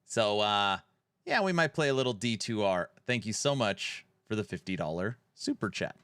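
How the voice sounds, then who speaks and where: A man's voice: fairly low pitch, expressive, normal speed, fairly bright tone, smooth, no echo, clean studio sound. Chatty forty-year-old male streamer. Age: 40s. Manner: chatty, reads viewer messages aloud. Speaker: streamer host. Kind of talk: casual live-stream chat.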